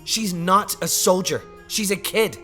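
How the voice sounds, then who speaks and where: A man playing a frustrated, earnest grown son pleading with his father in an animated comic dub, in an exasperated manner. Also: sits high, measured pace. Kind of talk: animated comic fandub acting. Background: music.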